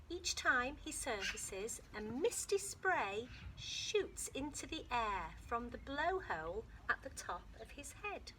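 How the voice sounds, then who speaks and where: A woman teacher with a British accent reading a children's picture book aloud, with expressive storytelling that sometimes drops to a soft whisper and stops to explain words simply for young children. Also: on the high side, a little hiss or hum. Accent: British English. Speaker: infant school teacher.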